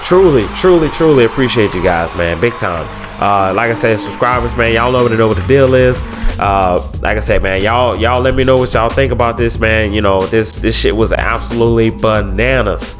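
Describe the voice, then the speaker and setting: Stuffy-nosed young guy vlogging, lowish voice, slangy and loose, faint music under it. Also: moderate pace. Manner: loose slangy talk, many fillers and repeats. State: congested from a head cold. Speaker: YouTuber. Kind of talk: casual vlog talk to camera.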